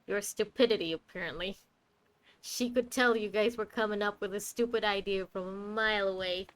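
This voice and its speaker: A woman's voice: mid-range voice, steady tone, fairly quick, poor audio. A woman game streamer giving casual, reactive playthrough commentary with a wry way of talking.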